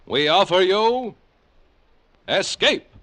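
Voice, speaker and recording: A man's voice: high voice, big pitch swings, takes it slowly, background noise. A man announcing in formal, declamatory 1940s radio style. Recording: old radio broadcast, music behind.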